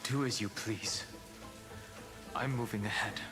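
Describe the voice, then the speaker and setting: A man playing a stoic, reserved young warrior in a video game, speaking in a serious, measured, terse way. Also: on the low side, fairly even intonation, over music, lightly acted. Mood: firm, serious. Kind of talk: scripted video game drama.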